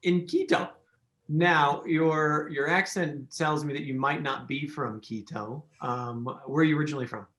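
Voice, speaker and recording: A male podcast host with a fairly high voice, speaking in an echoey room. He comes across as enthusiastic and loose, rambling through his questions with lots of "you know"s. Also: fairly even intonation, normal speed, fairly bright tone. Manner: enthusiastic, rambling, many fillers and restarts. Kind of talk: casual interview chat.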